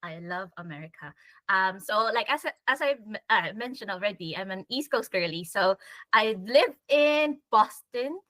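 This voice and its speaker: A woman's voice: mid-range voice, expressive, normal speed, fairly bright tone, no echo. A young adult woman chatting casually as a panel guest, laughing often and saying "like" a lot. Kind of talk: casual chat.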